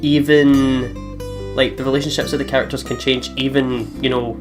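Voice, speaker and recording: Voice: mid-range voice, flat delivery, moderate pace, music in the background. A Scottish let's player chatting casually and agreeably while playing a visual novel as co-host. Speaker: co-host of a gaming let's play.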